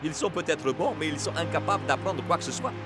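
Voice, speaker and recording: A man's voice: a little high, animated, quick pace, over music, lightly acted. Shakes, a man playing a young football hero. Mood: thoughtful. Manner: upbeat, reassuring. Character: confident young male football star. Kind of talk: French cartoon dub. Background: cartoon score.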